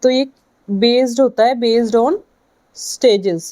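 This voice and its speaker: A woman with an Indian accent giving a lecture to students, speaking in short halting phrases and checking in with her listeners. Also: fairly high pitch, dark tone. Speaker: physiotherapy lecturer.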